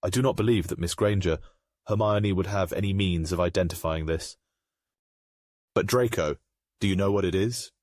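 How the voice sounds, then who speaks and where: A man playing a grave, remorseful aristocratic father in a comic dub, speaking in a formal, measured way. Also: low voice, a little mellow, no room sound, studio quality. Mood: solemn, remorseful.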